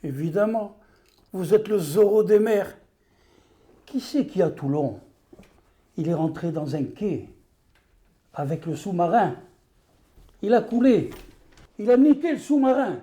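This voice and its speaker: A man's voice: high voice, lively intonation, unhurried, long pauses, mellow, husky, no room sound, exaggerated voice. A man playing a rambling, hot-tempered boat owner who talks with a strong accent in a comedy film. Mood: furious. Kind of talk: burlesque comedy film acting.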